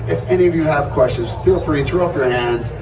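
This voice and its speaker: A man's voice: a little high, a little fast. A man moderating a convention panel in a casual, unscripted way. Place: convention hall panel with audience. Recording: echoey hall, distant mic, noisy.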